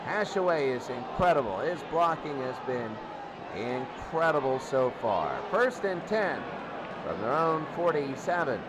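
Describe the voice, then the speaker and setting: High-pitched man calling football over roaring crowd. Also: sing-song, a little slow. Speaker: play-by-play announcer. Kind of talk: excited football play-by-play commentary. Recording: noisy game broadcast, loud crowd. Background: loud crowd and heavy background noise.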